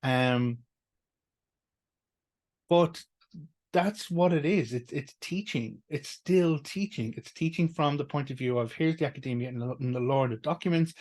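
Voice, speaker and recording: A man's voice: medium pitch, normal speed, darkish tone. Earnest, rambling Irish man. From Ireland. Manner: rambling, earnest, with restarts and fillers. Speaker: teacher and school co-founder. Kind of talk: casual monologue.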